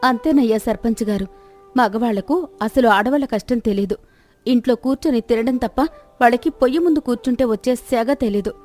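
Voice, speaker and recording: A woman voicing a village wife who scolds and argues quickly in a fairly high voice. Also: darkish tone, soft background music, close to an everyday voice. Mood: scolding. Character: sharp-tongued village wife, defending her cooking.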